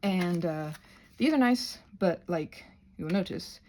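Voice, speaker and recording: A woman's voice: lowish voice, lively intonation, slow pace, dark tone, a little hiss or hum. A woman talking through a craft demonstration in a rambling, theatrical, self-interrupting way, chatting to herself and to objects, recorded on a phone. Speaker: craft video creator demonstrating butterfly wing prep. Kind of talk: rambling casual craft tutorial with asides to self.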